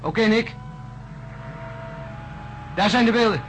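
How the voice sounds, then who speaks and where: An actor playing a seasoned astronaut in a science fiction radio drama, brisk, matter-of-fact and wry. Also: male voice, sits high, over music. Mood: alert, brisk. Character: seasoned, brisk male astronaut in charge aboard spacecraft. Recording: noisy drama recording with cabin ambience.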